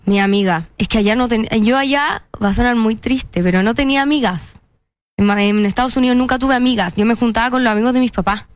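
A woman's voice: fairly high pitch, fast talker, dry recording. A young woman with a Chilean accent, chatting casually and expressively as an interview guest, often repeating words for emphasis. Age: young adult.

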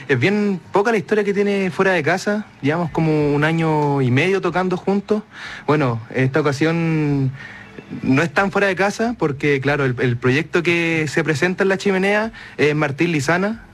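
A man's voice: fairly high pitch, moderate pace, a bit bright. A man with a Chilean accent, a songwriter giving modest, casual answers in an interview. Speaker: singer-songwriter, interview guest.

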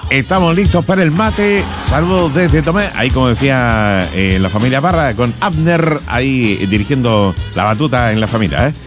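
A man's voice: medium pitch, lively intonation, normal speed, hardly any pauses. Jokey Chilean man over music. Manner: rambling, jokey, colloquial, chuckles often. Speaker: radio host. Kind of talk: casual humorous chat, anecdotes and listener greetings.

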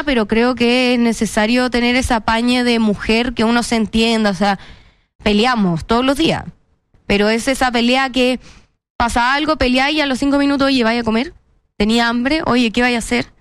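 A woman's voice: a little high, fairly even intonation, brisk, smooth, dry recording. A young woman with a Chilean Spanish accent, chatting as an interview guest in a playful, self-mocking way. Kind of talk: casual interview chat.

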